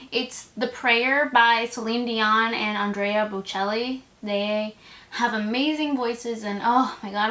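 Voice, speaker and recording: A woman's voice: fairly high pitch, sharp tone. An eighteen-year-old woman chatting to camera in a casual vlog, gushing and full of "like" fillers. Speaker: vlogger. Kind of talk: casual tag video chat to camera.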